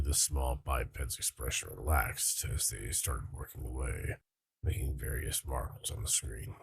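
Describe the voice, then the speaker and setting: A man playing a measured, caring starship crew member in a scripted sci-fi skit, speaking formally and earnestly. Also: extremely low pitch, creaky, a little mellow, husky, dry recording, heavily acted. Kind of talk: scripted sci-fi log narration in an audio skit.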